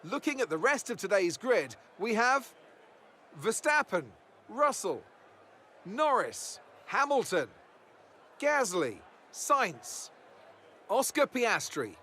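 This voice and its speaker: A man's voice: high voice, big pitch swings, slow, pauses often, bright, forceful tone, slight background noise. A man giving polished, upbeat race broadcast commentary in an announcer's manner. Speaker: sports commentator.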